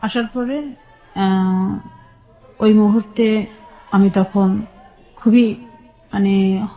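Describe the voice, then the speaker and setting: A woman with a Bangladeshi accent telling her personal life story as a radio guest, recalling it haltingly in short phrases. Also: mid-range voice, steady tone, highs cut off.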